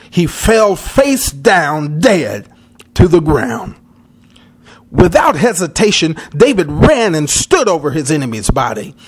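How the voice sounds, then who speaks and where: Animated, high-voiced male storyteller. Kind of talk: dramatic children's Bible story narration.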